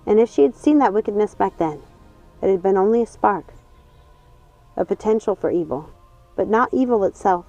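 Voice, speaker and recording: A woman's voice: mid-range voice, animated, normal speed, long pauses, mellow, dry recording. A woman reading a storybook as a scripted audiobook narration. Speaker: audiobook reader. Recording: dull lo-fi sound, music underneath. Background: music.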